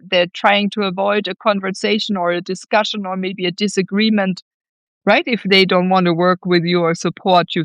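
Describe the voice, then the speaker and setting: A woman's voice: medium pitch, a bit bright, no room sound. Earnest woman hosting a reflective interview. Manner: earnest, halting delivery with repeated words. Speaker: podcast host.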